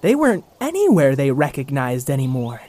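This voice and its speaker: A woman's voice: a bass voice, wildly swooping pitch, a little fast, dark tone. A woman narrating a kids' audio drama as a storyteller.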